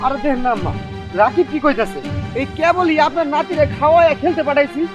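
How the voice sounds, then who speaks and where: Actress as frantic, very high-voiced village mother. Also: expressive, fast, muffled recording, over music. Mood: alarmed disbelief. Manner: urgent, pleading, repeating her cries. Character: frantic village mother fearing for her young son. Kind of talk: amateur short-film melodrama.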